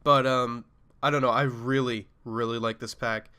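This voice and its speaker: A man with a nasal voice from a cold, giving a laid-back, casual review of a sample pack with short excited reactions. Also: mid-range voice, normal speed, clear, resonant tone. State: sick with a cold. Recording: music playing behind.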